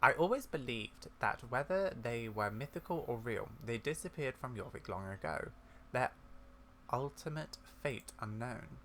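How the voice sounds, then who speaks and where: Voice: mid-range voice, expressive, moderate pace, fairly bright tone, slight background noise, close to an everyday voice. A gamer voicing a kindly, scholarly older witch woman from a video game, in a genteel, musing manner. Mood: musing, grave. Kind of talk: video game dialogue read aloud.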